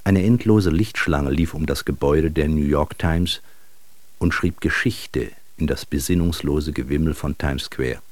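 A man's voice: a bass voice, a little slow, no echo. A man acting in a literary German radio drama as a wry, world-weary travelling bristle salesman, narrating in a reflective way. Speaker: narrator and lead actor.

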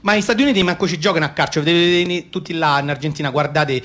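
A man's voice: on the high side, fast talker, fairly bright tone, lightly acted. Man playing a rambling little Roman football-mad boy. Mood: eager, insistent. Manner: rambling, hesitant, naive, boastful childish explaining. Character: boastful little football-mad boy collecting sticker cards in 1978. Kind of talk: radio theatre monologue in childish colloquial speech.

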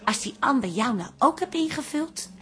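An actress playing a cheerful, gossipy barmaid in a comic radio play, telling things in a bubbly, colloquial way. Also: female voice, high voice, animated, normal speed, fairly bright tone. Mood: explaining. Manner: bubbly, giggly, colloquial storytelling. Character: cheerful, chatty, gossipy female barmaid, Toos.

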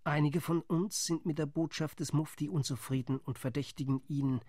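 A wary male delegate in a radio play, doubtful and deliberate, as an actor plays him. His voice sits fairly high for a man, with a grave, reporting tone. The studio sound is close and dry. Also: steady tone, mellow, dry recording, natural voice. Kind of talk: radio drama monologue.

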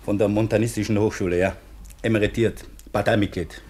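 An actor playing a reserved, polite former professor in a radio play, formal, hesitant and guarded. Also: male voice, on the low side, fairly even intonation, fairly quick, a little mellow, slightly rough. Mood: guarded, subdued. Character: reserved, polite former geology professor seeking hard labour.

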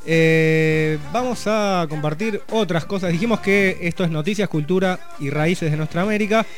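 Man, higher-pitched radio host, casual news rundown with asides, faint music under. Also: normal speed. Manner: relaxed, conversational, reads news items with asides. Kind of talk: community radio news chat.

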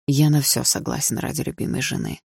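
A woman's voice: extremely low pitch, normal speed, vocal fry, darkish tone, husky, dry recording, studio quality, exaggerated voice. A woman voicing a menacing, obsessive and smug husband with an insinuating delivery in an audiobook. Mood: coaxing, taunting. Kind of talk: audiobook character dialogue.

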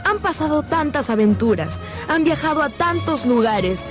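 A woman's voice: sits high, lively intonation, normal speed. A woman narrator delivering scripted narration for a radio drama. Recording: music behind the voice.

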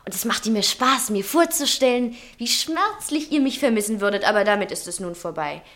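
A woman's voice: mid-range voice, expressive, a little fast, a bit bright, lightly acted. An actress playing a vain, dreamy and callous young woman in a 1960s German radio play, with theatrical, musing phrasing. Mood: bitter, defiant. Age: young adult. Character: vain, dreamy, callous young innkeeper's daughter longing for luxury.

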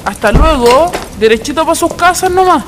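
A woman's voice: high-pitched, lively intonation, moderate pace, over loud music. An actress playing a bossy Chilean woman who runs a tavern in a radio drama, curt and scolding. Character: bossy woman tavern keeper shooing out drinkers.

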